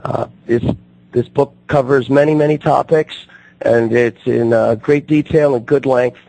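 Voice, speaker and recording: A man hosts a radio talk show while his voice is still on the mend. His tone is gravelly and husky. He talks haltingly, with frequent fillers and restarts, at an even middle pitch. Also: no echo, rough recording. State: recovering from losing his voice.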